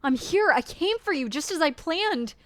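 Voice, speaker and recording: A woman's voice: high voice, lively intonation, moderate pace, dry recording, pitched above the performer's own voice. A woman voicing a distraught young man who pleads in bewilderment and rises into anguished cries. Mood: desperate.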